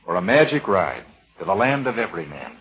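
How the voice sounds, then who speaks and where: A man's voice: sits low, very animated, fairly quick, background noise. A man playing an easygoing, gallant young sailor with a casual manner in a 1940s radio romance. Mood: playful. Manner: casual, friendly, plain-spoken. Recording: thin, narrow-band vintage radio recording.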